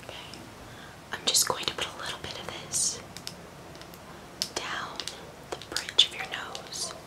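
A woman's voice: close to an everyday voice, poor audio. Woman playing a whispering, vain, tipsy rich mom. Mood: soothing, coaxing. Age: young adult. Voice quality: breathy whisper.

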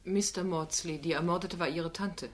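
A woman's voice: on the low side, fairly even intonation, moderate pace, lightly acted. An actress playing a young woman barrister in a radio crime drama, questioning firmly, sceptically and insistently. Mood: firm, probing. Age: young adult.

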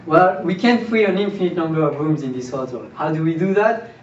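A young man with a slightly high voice lectures informally, posing questions and checking in with his listeners. He is picked up from a distance in a small seminar room, with strong echo. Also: a little fast. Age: young adult. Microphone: far camera. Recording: distant roomy mic, dull, faint noise.

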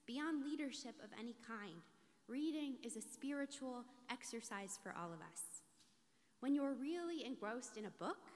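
Woman preaching in a reverberant hall. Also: on the high side. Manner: measured, deliberate phrasing with rhetorical repetition. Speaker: rabbi giving a sermon. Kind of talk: scripted sermon, read from a lectern. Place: large synagogue sanctuary, indoors.

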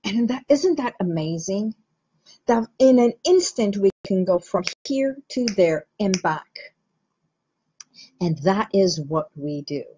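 A middle-aged woman from Iran, a hypnotherapist, explaining the mind to viewers in a slow, measured, guiding way. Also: medium pitch, sing-song, mellow, breathy edge, highs cut off. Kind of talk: teaching talk to camera.

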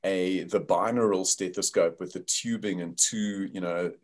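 A man's voice: deep voice, fairly even intonation, normal speed. An older man with a South African accent, a company founder explaining his work over a video call in a thoughtful way, with many fillers.

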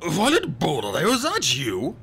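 A man voicing a stern, pompous gentleman who admonishes people fussily in an audiobook story. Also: on the high side, wildly swooping pitch, normal speed, pitched above the performer's own voice, full character voice. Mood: startled, indignant. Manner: pompous, admonishing, throat-clearing. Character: fussy, stern, pompous adult gentleman, easily offended.

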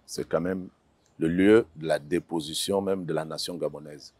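A man in his sixties, a former minister and panel guest, speaking in a measured, solemn way outdoors. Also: very deep voice, fairly even intonation, darkish tone, dry recording. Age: 60s. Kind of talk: panel discussion. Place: outdoors on a square.

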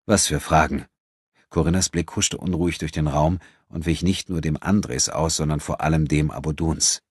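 Ein Mann, der als Hörbucherzähler einen literarischen Text dramatisch vorliest. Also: male voice, extremely low pitch, flat delivery, normal speed, a little mellow, husky, no room sound, clean studio sound. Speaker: audiobook narrator. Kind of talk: dramatic scripted literary narration.

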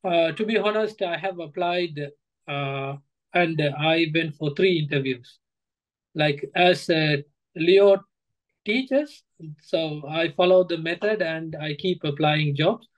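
A man in his thirties with a Sri Lankan accent, giving hesitant, halting interview answers on a video call as a guest. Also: a little high, poor audio. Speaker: guest, former coaching student. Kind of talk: interview answers, personal account. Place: indoor room, on a video call.

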